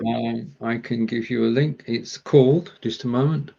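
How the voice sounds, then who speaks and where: A man's voice: mid-range voice, fairly even intonation, normal speed, creaky, warm tone, highs cut off, slight background noise. A man from England hosting a casual online chat show, explaining things in a stop-start way over a streaming call. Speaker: show host and producer. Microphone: live streaming video call.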